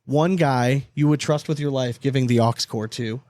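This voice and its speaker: A man's voice: mid-range voice, normal speed, darkish tone, no room sound. A man co-hosting a podcast with casual banter, talking in a blunt, profane, slangy way. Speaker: podcast co-host.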